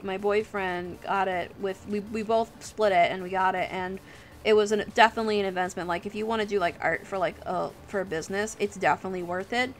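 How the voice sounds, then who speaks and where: A woman chatting casually and informally as a livestream host, with an encouraging manner. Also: medium pitch. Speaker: livestream host and digital artist. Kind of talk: casual livestream chat while drawing. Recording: music playing behind the voice. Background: music.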